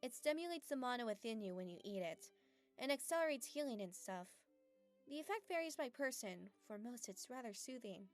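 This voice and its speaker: A woman voicing a gentle, earnest young fantasy heroine in an anime fan dub, speaking in a measured, hesitant way. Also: fairly high pitch, expressive, soft background music, natural voice. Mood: calm, explaining.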